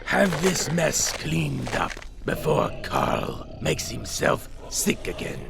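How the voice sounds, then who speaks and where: Radio-play vampire lord: ancient, imperious, very slow, heavy gravelly creak. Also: male voice, fairly high pitch, huge pitch swings, exaggerated voice. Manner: slow, deliberate, commanding. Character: imperious male vampire master, ancient and commanding.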